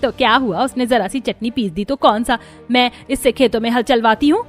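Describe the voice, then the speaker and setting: A woman voicing a domineering, fault-finding, scornful middle-aged mother-in-law in a Hindi animated family story. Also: on the high side, fairly quick, soft background music, lightly acted. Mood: scornful, defiant.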